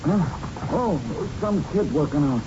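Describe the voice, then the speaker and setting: High-voiced, weary old gym keeper. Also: male voice, lively intonation, measured pace. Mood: gloomy, resigned. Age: older. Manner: dour, muddled, ungrammatical streetwise talk. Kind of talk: 1970s radio drama acting. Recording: muffled, noisy old radio broadcast recording.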